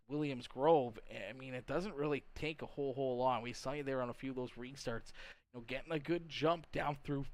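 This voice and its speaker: A man hosting a live racing broadcast, talking in a chummy, wordy announcer style as he commentates and interviews drivers. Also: medium pitch. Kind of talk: live motorsport commentary and post-race interview.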